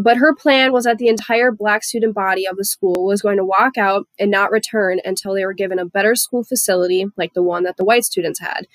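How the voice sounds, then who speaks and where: A woman's voice: mid-range voice, no room sound, poor audio. A young woman hosting a history podcast, reading her story in a casual manner, with a stuffy nose from a head cold. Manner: casual, read-aloud storytelling with fillers. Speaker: podcast host. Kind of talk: student history podcast narration.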